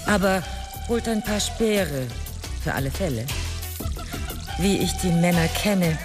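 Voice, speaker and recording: A woman's voice: fairly low pitch, lively intonation, takes it slowly. An actress playing an unruffled, commanding royal woman in a comic fantasy radio drama, with a dry manner. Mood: imperious, pleased. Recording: music behind the voice.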